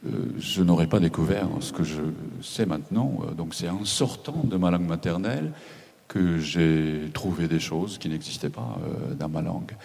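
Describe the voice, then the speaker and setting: A very deep, gravelly man speaks slowly and reflectively on a panel, pausing often. His first language is Japanese, and his tone stays dark and mellow. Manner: halting, reflective, with many pauses. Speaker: novelist on a panel. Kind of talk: panel discussion.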